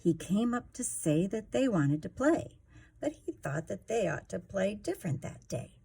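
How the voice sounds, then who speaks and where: Female storytime reader, unhurried and expressive, creaky husky tone, rhythmic read of rhyming picture-book lines. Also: mid-range voice.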